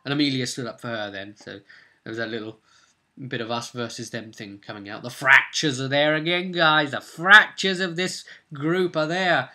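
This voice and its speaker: Bright, forceful man telling stories. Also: medium pitch, normal speed. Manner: rambling, animated asides to viewers. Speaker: game master recapping his tabletop campaign. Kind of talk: casual video recap, storytelling.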